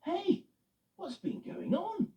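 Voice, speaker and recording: A man voicing a steady, polite male delivery truck who is a little worried about the snow. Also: very high-pitched, slow pace, reverberant, pitched above the performer's own voice, full character voice. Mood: earnest. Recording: muffled, with music behind.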